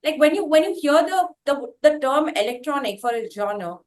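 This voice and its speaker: Female interviewer, higher-pitched. Deliberate, wordy, halting questions with repeated words. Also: animated. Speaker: interviewer and host.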